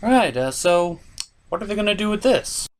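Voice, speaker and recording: A man's voice: high voice, sing-song, normal speed, a little hiss or hum. A man hosting a scripted comedic review, with theatrical, exasperated reactions. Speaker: host of a review show.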